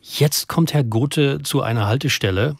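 A man's voice: mid-range voice, normal speed, creaky, husky, dry recording. A man hosting a radio programme, presenting conversationally and summing things up in an articulate way. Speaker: radio host.

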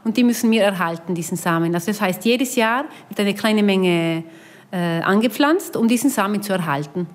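Austrian lady farmer w/ a bit of italian accent, explaining stuff in an interview, medium pitch, slightly halting. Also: expressive. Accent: Austrian with an Italian tinge. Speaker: rice farmer being interviewed.

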